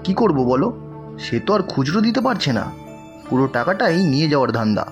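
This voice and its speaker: A man's voice: fairly high pitch, very animated, fast, faint music behind. An actor playing a rude, sarcastic, hot-tempered young male customer in a cartoon. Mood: dismissive. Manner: curt, sarcastic, scornful. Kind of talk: animated cartoon dialogue.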